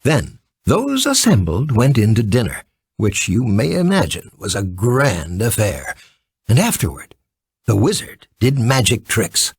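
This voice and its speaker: A man reading a scripted children's story as an expressive, theatrical narrator. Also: fairly low pitch, unhurried, husky, dry recording.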